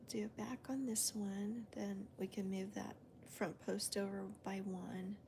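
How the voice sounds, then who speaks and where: Female crochet host, whispery and gravelly, calm and even, counts stitches aloud. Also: medium pitch, measured pace, background noise. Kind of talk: soft-spoken crochet demonstration and chat.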